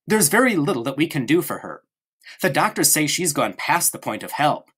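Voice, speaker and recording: A man's voice: fairly high pitch, sing-song, brisk, fairly bright tone, breathy edge, heavily acted. A man voicing a bold young boy who complains at dinner and teases his sister. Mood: cheeky.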